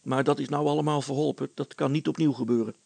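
A man's voice: medium pitch, steady tone, quick pace, creaky, a little mellow, breathy edge, no room sound, voice pushed up. A man voicing an inventor's young son in a storybook, candid, eager and matter-of-fact.

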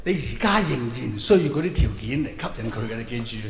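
A man's voice: mid-range voice, animated, fast. A man performing a stand-up monologue, telling it theatrically with mock-earnest advice. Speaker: stand-up comedian. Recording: echoey hall with long reverb, clear noise.

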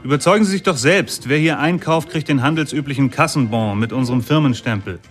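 A man's voice: medium pitch, brisk, lightly acted. Defensive male shop owner played by an actor, polite, evasive, mid-range voice, radio drama with light music. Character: guarded male shop owner under police questioning, 20s. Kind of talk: German radio documentary drama acting.

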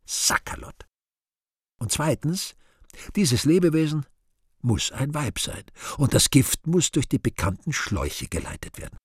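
An actor playing a brooding, vain, scheming devil who mutters to himself and breaks into exclamations in a theatrical radio play monologue, with a raspy, gravelly voice. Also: male voice, fairly high pitch, sing-song, measured pace, dry recording, studio quality, exaggerated voice. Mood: excited, plotting.

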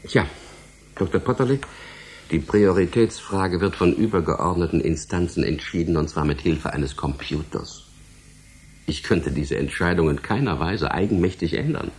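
A man's voice: sits low, moderate pace, a little hiss or hum, lightly acted. A man playing a courteous, evasive middle-aged department head in a radio drama, explaining things in a polite, officious way. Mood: regretful, dismissive.

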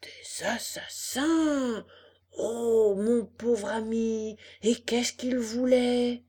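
A woman voicing a sly male fox who feigns gentlemanly sympathy in a storybook, theatrical and drawn out. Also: on the high side, steady tone, extremely slow, breathy edge, heavily acted. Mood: mock concern.

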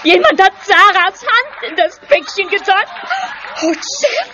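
A very high, wailing female voice plays a horrified actress in a radio drama. The words come in slow sobs that swoop wildly, on a muffled recording. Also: animated, pushed and bright, heavily acted. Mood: hysterical horror. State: crying. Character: distraught stage actress and mother, horrified, near hysteria. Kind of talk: radio play acting. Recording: muffled, slightly noisy studio recording.

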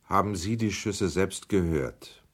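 An actor in a German radio documentary drama playing a persistent inquiry examiner, putting formal, pointed, insistent questions. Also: male voice, low-pitched, measured pace. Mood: persistent, polite. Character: probing, persistent male inquiry committee examiner.

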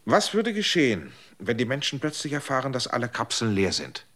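An actor playing an anxious former rebel who pleads and asks searching questions in a radio drama. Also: male voice, medium pitch, huge pitch swings, a little fast, sharp tone, full character voice.

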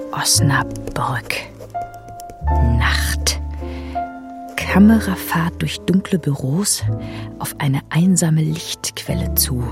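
A woman voicing a detached narrator who reads terse screenplay directions in a hushed manner for a radio drama. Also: sits low.

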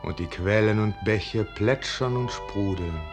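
Wistful, low-voiced poet storyteller. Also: male voice, unhurried, over music. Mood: lyrical wonder. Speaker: narrator. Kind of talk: radio play storytelling.

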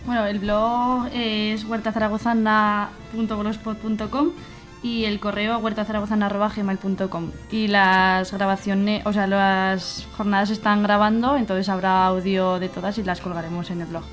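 A woman's voice: mid-range voice, level tone, moderate pace. Woman on a radio interview, casual, level intonation, bit of music behind. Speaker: guest, activist.

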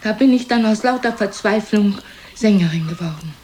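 A woman's voice: mid-range voice, normal speed, darkish tone, slight background noise, natural voice. An actress playing a proud, reproachful elderly mother in a radio play, scolding and accusing in a dramatic manner. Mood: aggrieved. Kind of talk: German radio play acting.

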